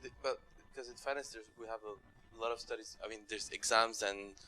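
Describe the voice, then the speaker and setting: A man's voice: lowish voice, steady tone, brisk, bright, forceful tone. A young man answering casually as a student panelist at a Q&A. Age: young adult. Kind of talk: casual explanation at a Q&A.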